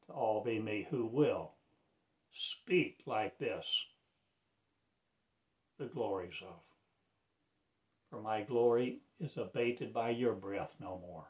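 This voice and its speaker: A man delivering a slow, solemn, declamatory sermon as a self-proclaimed prophet, with sudden loud swells and hushed drops. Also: fairly low pitch. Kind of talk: rambling prophetic monologue.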